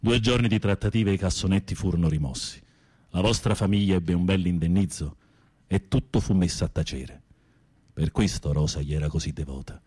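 Husky, low-voiced theatrical prisoner. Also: male voice, darkish tone. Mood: probing, grave. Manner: theatrical, sardonic, with drawn-out musing. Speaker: radio drama actor. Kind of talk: Italian radio theatre acting.

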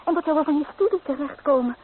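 An actress playing an anxious, torn young bride-to-be who hesitates and pleads in a radio play. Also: female voice, high-pitched, fast, natural voice. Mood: hesitant, pleading. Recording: tinny, noisy old radio play.

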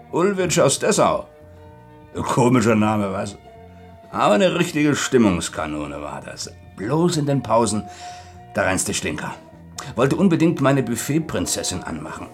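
An actor in a German radio play playing a shrewd, chatty restaurant manager who calculates aloud in colloquial short phrases. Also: male voice, mid-range voice. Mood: chatty, gossipy.

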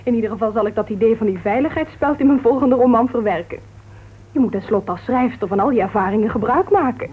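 A woman's voice: fairly high pitch, quick pace, slightly rough. An actress playing an opinionated, gossipy woman crime writer in a radio detective drama, speaking in a pointed, emphatic way. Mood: indignant, brisk. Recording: tinny, noisy old radio recording.

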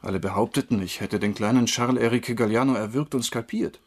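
An actor playing a troubled former drinker, wrongly convicted, confessing haltingly in a crime radio drama, with a husky voice. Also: male voice, medium pitch, lightly acted. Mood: hesitant, confessional. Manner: halting, brooding.

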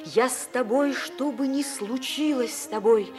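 A devoted young woman speaks very slowly in a high voice over prominent music. She is fervent and incantatory, in the declamatory style of a 1950s radio play. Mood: tender, incantatory. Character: devoted young woman pleading for her beloved. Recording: vintage radio recording under prominent music.

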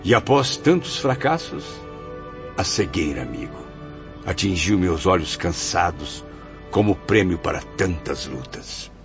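An actor with a Brazilian accent playing a proud, embittered blind writer's spirit in a radio soap, declaiming theatrically. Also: male voice, low-pitched, sing-song, a little slow, vocal fry, heavily acted. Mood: bitter, remorseful. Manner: theatrical, declamatory, grandiose, with swelling and sinking emphasis. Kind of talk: radio soap opera acting. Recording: dull lo-fi audio, music behind.

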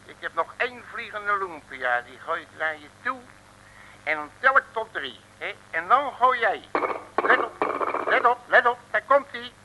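An actor playing a brash, excitable, slangy detective with a blustery, stammering manner in a 1950s comic radio serial. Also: male voice, high voice, normal speed. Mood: excited, scolding. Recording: old noisy radio recording.

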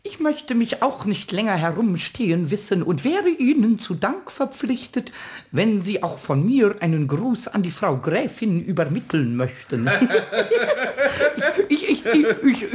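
A male actor playing a small, childlike, mischievous kobold in a children's radio play, squeaky and stammering over repeated words. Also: very animated, a little slow, a little mellow. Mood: mocking, giggling. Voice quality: high, squeaky.

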